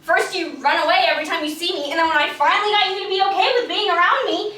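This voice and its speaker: A woman's voice: very high-pitched, steady tone, fast, bright, forceful tone. A woman in a stage play, playing a friendly, candid young radio actress. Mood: hurt, confronting. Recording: echoey distant stage recording, faint noise.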